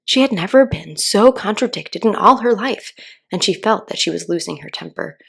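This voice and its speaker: A woman narrating a children's story aloud in a calm audiobook style. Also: medium pitch, moderate pace, gravelly, breathy edge.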